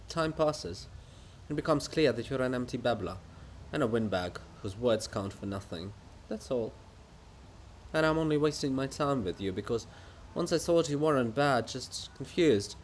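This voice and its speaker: A man reads as a living monument in a stage farce, tired of it all and coolly scolding. The delivery is measured, dry and cutting, sitting well below the heated pitch of a rant. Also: slight background noise, lightly acted. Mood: cool contempt. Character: weary, sardonic Hohol monument, blunt and coolly scolding. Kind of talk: dramatic reading of a stage farce.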